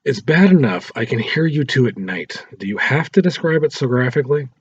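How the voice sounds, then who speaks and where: A man's voice: mid-range voice, big pitch swings, brisk, slightly rough, voice pushed up. A man voicing a disapproving middle-aged mother, dry and exasperated, in a read-aloud story. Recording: roomy echo, dull lo-fi sound.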